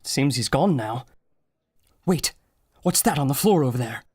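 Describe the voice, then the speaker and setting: Man as fretful, very animated scholar sidekick. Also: mid-range voice, measured pace, husky. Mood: anxious. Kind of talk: radio drama acting.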